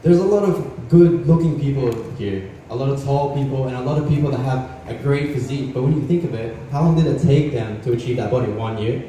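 A man in his twenties from Australia, a pageant contestant giving a confident, measured self-introduction on stage. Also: medium pitch, mellow, slight background noise. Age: 20s. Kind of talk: prepared self-introduction speech. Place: large indoor hall stage. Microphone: handheld mic, filmed from far. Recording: echoey hall from far off.

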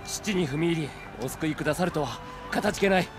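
An actor playing an earnest, courteous young samurai warrior in a game, with solemn, formal speech. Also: male voice, fairly high pitch, fast, over music, lightly acted. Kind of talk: Japanese samurai game cutscene acting.

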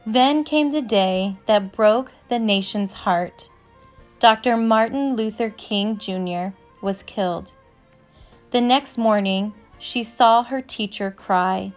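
A woman narrator reading a children's picture book aloud from a script, with measured, careful phrasing. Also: medium pitch. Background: instrumental music.

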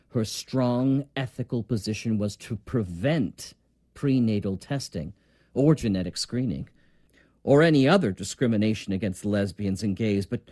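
Serious professor type in an audio drama, formal, precise, mellow, close dry sound. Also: male voice, on the low side, no room sound. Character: grave, principled male academic doctor, family friend.

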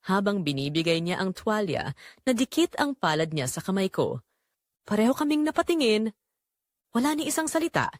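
Middle-aged mother-in-law for audio drama, played by a woman: secretly longing, guilt-ridden, deliberate emotive confession, very lively pitch, dry recording. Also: no room sound, close to an everyday voice. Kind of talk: dramatic first-person scripted narration.

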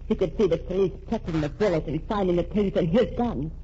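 An actress playing a sharp young woman reporter who calmly accuses a killer, in a 1940s radio drama. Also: lowish voice, lightly acted. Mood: explaining. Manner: cool, accusing, deliberate. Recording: dull old radio recording with faint hiss.

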